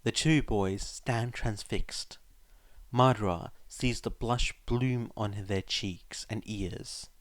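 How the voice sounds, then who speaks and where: A male narrator reads a scripted story. His voice is on the low side and his pace is slow. The sound is dry and close, without room echo. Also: fairly even intonation. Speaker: narrator, fan fiction reader.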